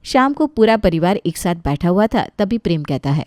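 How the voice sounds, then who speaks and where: A woman's voice: fairly low pitch, lively intonation, moderate pace, dark tone, no echo, clean studio sound. A woman storyteller reading scripted narration of a family tale.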